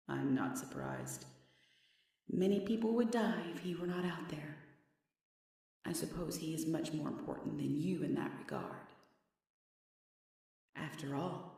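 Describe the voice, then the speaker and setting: A woman's voice: sits low, darkish tone, exaggerated voice. A woman playing a haughty, sadistic half-demon, half-witch villainess in an ASMR fantasy roleplay, mocking and imperious with theatrical menace. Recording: very echoey, slightly distant.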